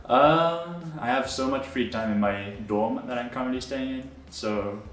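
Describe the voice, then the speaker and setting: A young man, a student, casually answering interview questions in a relaxed, rambling way with many fillers. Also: medium pitch, measured pace. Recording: roomy, distant mic with faint noise.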